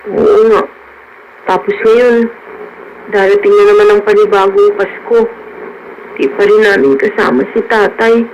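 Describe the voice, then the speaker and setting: A woman acts out a dutiful young daughter in a radio drama. She sounds earnest and wistful, with a mid-pitched voice and faint noise behind her. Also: measured pace, pauses often, darkish tone, natural voice, low-quality recording. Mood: resigned, sad.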